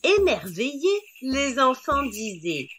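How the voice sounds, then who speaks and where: A woman narrating children's stories in an expressive storytelling style. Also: high voice, takes it slowly, faint music behind.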